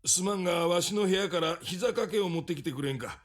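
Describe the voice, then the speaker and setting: An actor playing a gruff, stubborn, impatient old grandfather in a Japanese anime game, grumbling in old-fashioned elderly speech. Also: high voice, racing, soft background music, natural voice. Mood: weary.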